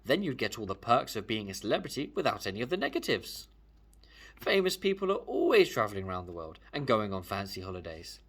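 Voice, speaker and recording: Animated male storyteller with a husky edge. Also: fairly low pitch, brisk. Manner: animated, emphatic. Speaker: storyteller reading a children's book aloud. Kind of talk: expressive read-aloud narration, first person.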